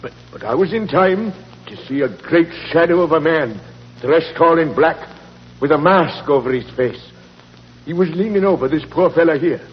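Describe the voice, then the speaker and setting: Old Irish caretaker, played by a man, radio drama, excitable, folksy, slightly high voice, dull vintage recording. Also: normal speed, close to an everyday voice. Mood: insistent, boastful. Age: older. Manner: folksy, emphatic, colourful. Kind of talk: 1940s radio acting. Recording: muffled, echoing 1940s radio recording.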